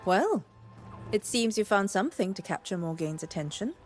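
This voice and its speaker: Female explorer-guide, fantasy game, played by an actress: medium pitch, stately wording, keen wonder, clear musical backing. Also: big pitch swings, moderate pace, soft background music, natural voice. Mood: courteous, inviting. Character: eager woman explorer-scholar, awed guide to wondrous ruins. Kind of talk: video game voice acting.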